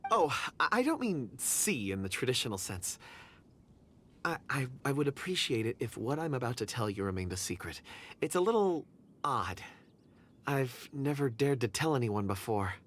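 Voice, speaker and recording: A man voicing a shy, polite miner in a video game, gentle and a little hesitant, sometimes stammering. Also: medium pitch, very animated, a little slow, long pauses, slight background noise.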